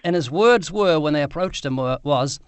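A man's voice: fairly high pitch, lively intonation, moderate pace, smooth, no echo. A man with a New Zealand accent, interviewing a stranger on the street in a chatty storytelling way and often repeating words as he goes. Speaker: street evangelist, show co-host.

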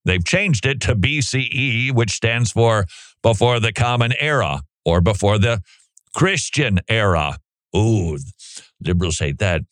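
A man's voice: fairly low pitch, expressive, unhurried, fairly bright tone, no room sound. A male commentary host reading a text aloud and reacting with sarcastic, incredulous asides.

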